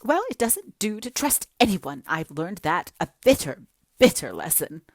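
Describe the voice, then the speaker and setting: A woman's voice: fairly low pitch, very animated, unhurried, no room sound, clean studio sound. A woman reading a book aloud and voicing a melodramatic, self-pitying young woman with gushing, theatrical talk. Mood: wailing. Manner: gushing, theatrical, breathless overstatement.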